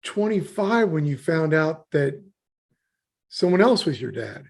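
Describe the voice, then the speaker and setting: A man's voice: on the high side, sing-song, unhurried, gravelly, darkish tone. A man hosting an interview, chatty and rambling with many fillers. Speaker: podcast host, interviewer. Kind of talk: casual interview.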